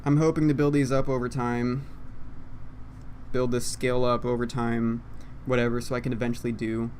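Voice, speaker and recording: A man's voice: medium pitch, little pitch movement, normal speed, a little mellow. A young man talking casually into a condenser mic at his computer, rambling in a self-deprecating way with lots of fillers. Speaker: YouTuber. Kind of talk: unscripted rambling monologue. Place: home room, seated at computer. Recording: faint background noise.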